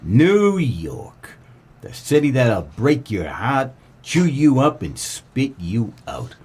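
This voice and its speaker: An American man playing a world-weary, wisecracking 1940s private eye in a comedic noir radio play, with deadpan melodrama. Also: mid-range voice, slow, breathy edge, heavily acted. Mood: brooding, wry. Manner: deadpan, melodramatic tough-guy delivery.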